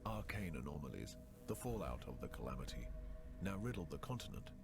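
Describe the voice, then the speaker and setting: An actor playing a world-weary, brooding narrator of a ruined fantasy land in a video game intro, grave and theatrical, with hushed word endings. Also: male voice, a bass voice, very animated, fairly quick, gravelly, heavily acted. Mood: somber, brooding. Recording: loud music under the voice.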